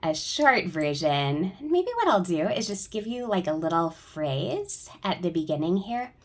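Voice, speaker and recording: A woman with a slightly low voice talks through a tutorial in an informal way. She explains each step in turn, as a choir director showing others how she works. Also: sing-song, a little slow.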